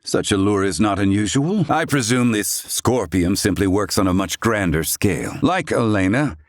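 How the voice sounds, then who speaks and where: Deep, proud older inventor, played by a man, sternly formal. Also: expressive, moderate pace, no room sound, clean studio sound, natural voice. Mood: grave, questioning. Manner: formal, archaic, haughty diction. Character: proud, stern older male inventor of flying craft, gruff and curt. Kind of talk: scripted video game voice acting.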